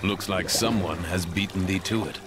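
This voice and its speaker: A man playing an earnest, bumbling thunder-god warrior in an animated game, with formal, archaic, theatrical speech. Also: deep voice, moderate pace, close to an everyday voice. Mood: noble. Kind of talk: animated game hero acting. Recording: loud music under the voice.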